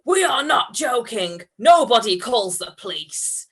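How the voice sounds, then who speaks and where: A bossy, theatrical bank robber played by a woman reading a comic story. He sounds like an exasperated amateur showman, sarcastic and a little high in pitch. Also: sing-song, unhurried, a bit bright, husky, exaggerated voice. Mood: stern.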